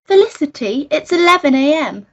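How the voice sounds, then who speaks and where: A woman's voice: high-pitched, sing-song, normal speed. A woman playing a fussy, gushing upper-class English boarding-school girl in a comic radio melodrama, on a dull recording. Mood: bright, prim.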